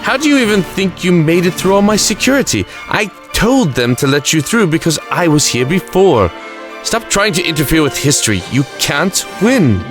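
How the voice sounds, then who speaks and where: A man's voice: sits high, big pitch swings, normal speed, music in the background. A man playing a sardonic, fatalistic time-travelling alien lord in an audio drama, wry and flippant in manner. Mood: flippant, defiant.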